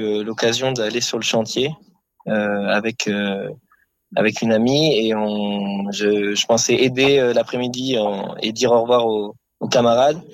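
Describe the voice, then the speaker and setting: A man giving a spontaneous personal account in an interview as an activist sailor, halting and reflective as he searches for words. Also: mid-range voice, dry recording.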